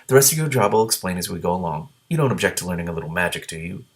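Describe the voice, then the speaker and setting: Man reading as a low-voiced, brisk storybook dragon. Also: animated. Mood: matter-of-fact. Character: brisk, matter-of-fact female dragon employer in a storybook.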